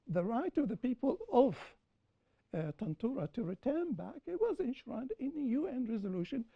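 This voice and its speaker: Mellow-voiced woman lecturing on a panel. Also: mid-range voice. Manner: deliberate, lecturing, repeats points for emphasis. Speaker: panel speaker and author. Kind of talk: panel discussion after a film screening. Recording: dull lo-fi recording.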